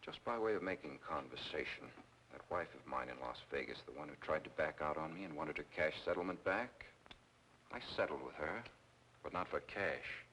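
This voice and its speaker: A man's voice: extremely low pitch, moderate pace, slight background noise, low-quality recording. An actor playing a sinister, coldly menacing man who poses as a ghostly lover in an old film noir. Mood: cold, threatening. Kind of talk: 1940s film noir acting. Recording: old film soundtrack with loud music behind.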